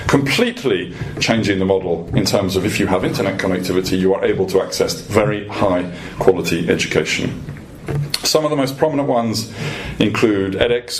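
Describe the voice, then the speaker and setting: A man giving a prepared policy presentation to a room as a guest speaker, in a measured, lightly humorous manner with pauses. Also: sits low. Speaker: guest speaker, industry association executive. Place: event room at a dinner debate. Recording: clearly noisy live event recording.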